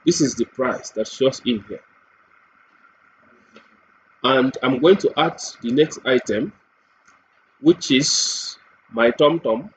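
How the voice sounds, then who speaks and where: A man presents a software demo step by step, deliberately, repeating phrases and posing questions to himself as he goes. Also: medium pitch. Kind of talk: step-by-step screen tutorial narration. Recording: dull lo-fi mic with clear background noise.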